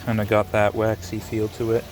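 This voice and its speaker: A man casually narrating his rock hunt on a rainy beach outdoors, relaxed and musing, thinking aloud with small restarts. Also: on the low side, moderate pace, faint music behind. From Canada. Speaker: rockhounding vlogger.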